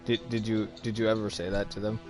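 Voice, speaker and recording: A man's voice: on the low side, flat delivery, fast talker, over music. A man in a casual chat, reacting and asking questions as a friend would.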